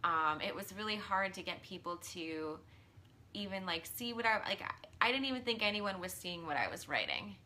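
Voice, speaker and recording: Woman in her 30s, live-stream coach, bright tone, slightly hoarse, earnest chatty delivery with ums. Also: mid-range voice, animated, normal speed. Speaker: mindset and business coach. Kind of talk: casual live-stream talk, reading viewer comments.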